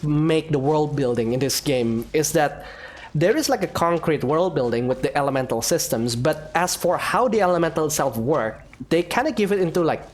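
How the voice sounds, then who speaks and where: A man hosting a game playthrough, giving casual, musing, somewhat hesitant commentary and reading in-game text aloud. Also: a little high. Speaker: gaming host, player.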